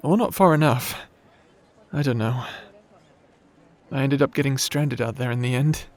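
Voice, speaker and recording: A man's voice: medium pitch, measured pace, darkish tone, close to an everyday voice. A man playing a shy, nerdy young wizard in a fantasy audio roleplay, awkward, apologetic and polite, stammering through his words. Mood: nervous, evasive.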